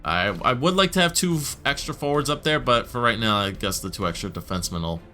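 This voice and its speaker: Upbeat young man, bright gaming commentary. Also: mid-range voice, animated, fast talker, soft background music. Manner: upbeat, chatty, many fillers and self-corrections. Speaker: gaming YouTuber. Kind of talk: casual gameplay commentary.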